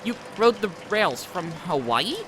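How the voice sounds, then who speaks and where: A man's voice: sits high, sing-song, measured pace, background noise. A man streaming a game, reading its dialogue aloud in a wry, playful way.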